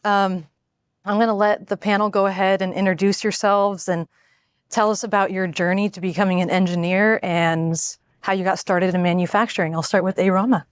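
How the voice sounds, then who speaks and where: A woman's voice: mid-range voice, little pitch movement, moderate pace, clear, resonant tone, no room sound. A woman, hosting a panel informally as its moderator.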